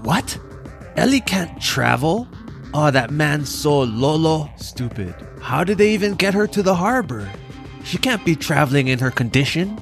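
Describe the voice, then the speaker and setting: A man's voice: fairly high pitch, sing-song, unhurried, soft background music, pitched above the performer's own voice. A man voicing a distraught, grieving teenage boy, pressing with pleading questions. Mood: anxious, upset.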